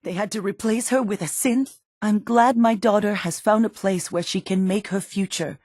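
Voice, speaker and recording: An actress playing a worried, protective middle-aged mother in a post-apocalyptic video game, speaking earnestly and emotionally, with halting phrases. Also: mid-range voice, a little mellow, no echo, studio quality. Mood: anguished, then relieved, grateful. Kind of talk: dramatic video game voice acting.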